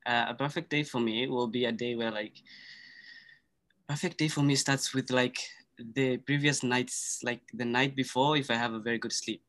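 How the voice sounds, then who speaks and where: Earnest Somali-native man, measured podcast guest, middle-range voice. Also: medium pitch, fairly even intonation, a bit bright. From Kenya. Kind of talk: casual conversation.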